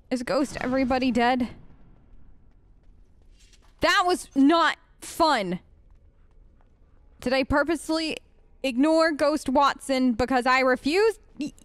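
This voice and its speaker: A woman's voice: high voice, fairly bright tone, no room sound. A woman streamer giving casual, playful gameplay commentary and talking back to the game's characters. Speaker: let's play streamer. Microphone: close, dry mic.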